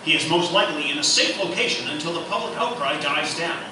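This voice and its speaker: Man acting as formal high-pitched male news anchor, echoey. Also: fast, lightly acted. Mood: formal, measured. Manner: formal announcer delivery. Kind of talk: scripted newscast parody in live radio theatre. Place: live stage performance in echoey room. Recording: echoey hall, distant mic, noisy.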